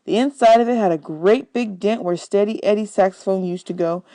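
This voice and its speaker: A woman's voice: medium pitch, brisk, warm tone, no room sound. A woman reading a children's novel aloud as a storyteller. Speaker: audiobook reader, teacher.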